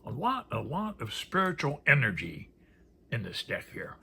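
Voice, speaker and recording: Guy casually showing stuff and musing out loud, creaky gravelly edge, pitch moves around a lot, bit of background noise. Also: huge pitch swings, normal speed. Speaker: presenter reviewing a tarot deck. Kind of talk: casual unscripted show-and-tell commentary.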